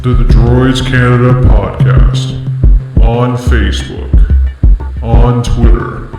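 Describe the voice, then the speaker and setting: A mid-pitched man makes a podcast intro announcement, extremely slowly and evenly. His voice is drenched in echo over loud, throbbing music. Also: flat delivery. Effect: heavy echo. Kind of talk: slow, drawn-out announcement.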